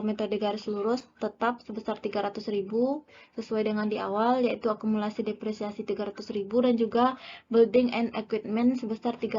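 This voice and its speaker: Flat-toned young woman, muffled noisy recording. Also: mid-range voice. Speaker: student presenter. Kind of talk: explanatory class presentation. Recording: dull lo-fi audio, clear background noise.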